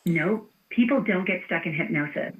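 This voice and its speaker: A woman's voice: fairly low pitch, expressive, normal speed. A woman's synthetic voice working as an automated phone receptionist, polite and formulaic in scripted customer-service phrases. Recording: tinny phone line.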